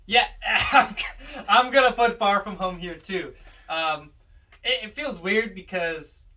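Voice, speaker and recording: A man with a bright, forward voice, pitched a little high, chats casually as a podcast co-host. He gives opinions conversationally, sitting slightly away from the microphone. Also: takes it slowly, distant mic. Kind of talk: casual film ranking chat.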